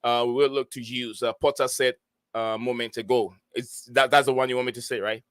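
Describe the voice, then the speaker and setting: A man hosting a football fan livestream, friendly and hesitant, filling pauses with "uh" as he reads quotes aloud. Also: mid-range voice, steady tone, fairly bright tone, no echo, studio quality. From outside the UK. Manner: friendly, hesitant, lots of "uh" while reading aloud. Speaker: livestream host.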